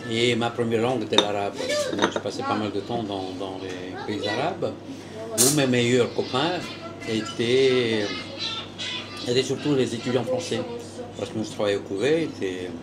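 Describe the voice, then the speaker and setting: A man, talking in a reflective way and pausing to search for words. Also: lowish voice, over music. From Russia. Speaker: entrepreneur, former diplomat.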